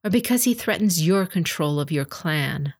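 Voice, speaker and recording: A woman's voice: fairly low pitch, expressive, normal speed. A woman voicing a stern noblewoman and clan champion in an audiobook, speaking in formal, challenging rhetoric. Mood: cold accusation. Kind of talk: dramatic audiobook reading.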